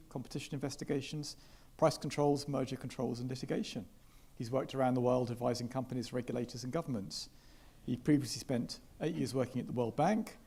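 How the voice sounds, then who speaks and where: A man moderating a conference panel, speaking in a measured way with frequent fillers and restarts. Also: mid-range voice, warm tone, breathy edge. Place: conference hall with live audience.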